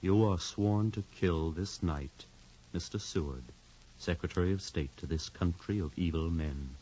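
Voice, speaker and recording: A dull 1950s radio recording carries a deep-voiced conspirator played by a man. He speaks slowly and theatrically, domineering and poetic, like a fanatic certain of his cause. Light noise sits under the voice. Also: warm tone, close to an everyday voice. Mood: grandiose, coaxing. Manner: grandiose, slow, deliberate, domineering. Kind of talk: 1950s radio drama acting.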